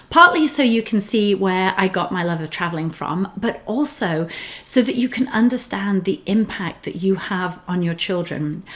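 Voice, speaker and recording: A woman's voice: medium pitch, a bit bright. A woman with an English accent who grew up in northern England, speaking as a relocation specialist in an earnest, encouraging talk to camera. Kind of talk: scripted personal talk to camera.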